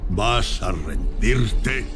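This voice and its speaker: An actor playing menacing, commanding fantasy warriors and kings in an animated film trailer, with theatrical delivery. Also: male voice, on the low side, very slow. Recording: film clip under loud music. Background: loud trailer music.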